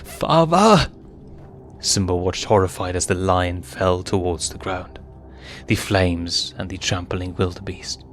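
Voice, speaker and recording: A man's voice: sits low, monotone, measured pace. A man reading a story aloud as a narrator, in a dramatic, scripted storytelling style. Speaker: fan fiction reader and narrator. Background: music.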